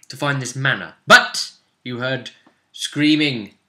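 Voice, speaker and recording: A man recapping a tabletop role-playing session as game master, in a casual, animated storytelling manner. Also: medium pitch, slow.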